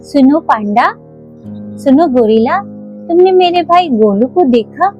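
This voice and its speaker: A woman's voice: sits high, lively intonation, a little slow, a little mellow, muffled recording, faint music behind. A woman voicing an earnest young calf girl in a children's toy cartoon story. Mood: earnest, searching.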